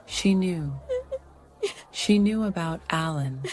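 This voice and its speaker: An actress playing a secretive, evasive 1940s femme fatale in a noir radio drama, measured and hesitant. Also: female voice, lowish voice, darkish tone, rough recording. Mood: anxious, defensive.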